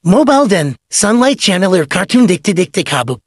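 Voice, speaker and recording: Casting: adult as excitable little animal student, childish, whiny, highly animated cartoon delivery. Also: brisk, slightly rough, no room sound, studio quality. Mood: stubborn.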